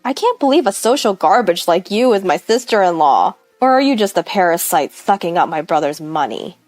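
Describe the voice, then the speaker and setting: A woman's voice: fairly low pitch, very animated, fairly quick, a little mellow, no room sound, faint music behind. A woman voicing a haughty, mocking young female medical student in a story, rude and condescending.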